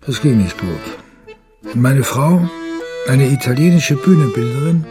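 A haunted dad in a radio play, slow halting confession, music underneath. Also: male voice, medium pitch, animated. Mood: reflective. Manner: halting, confiding, reflective. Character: brooding divorced father haunted by his lost daughter. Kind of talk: radio drama acting.

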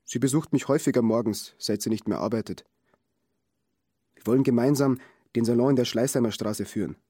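An actor playing an earnest hairdresser giving testimony in a radio play, with a husky voice. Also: male voice, mid-range voice, brisk, mellow, no room sound, clean studio sound, lightly acted. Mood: earnest, subdued. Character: earnest hairdresser husband giving a plain witness statement.

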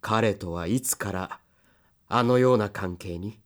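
An actor playing a stern male interrogator in an audio drama, questioning in a formal, measured, insistent manner. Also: on the low side, natural voice. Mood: cool, insistent. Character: stern, controlled male interrogator, coolly jealous lover. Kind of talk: Japanese audio drama acting. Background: music.

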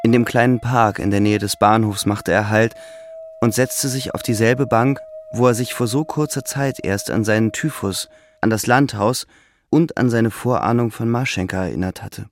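A man narrating a literary radio play from a script in measured storytelling. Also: on the low side.

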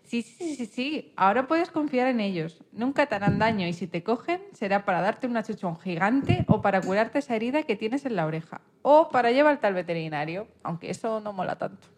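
A woman playing a cheerful, reassuring female rabbit in a children's radio story, with a friendly, animated manner. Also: mid-range voice, a little fast, few pauses, a little hiss or hum, natural voice. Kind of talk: amateur radio-play acting of a children's story.

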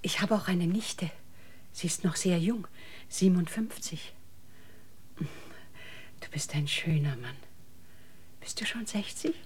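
An actress in a radio drama playing a lonely, gentle older woman, speaking softly and haltingly in a breathy half-whisper. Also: lowish voice, full character voice. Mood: tender, wistful. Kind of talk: intimate German radio play acting.

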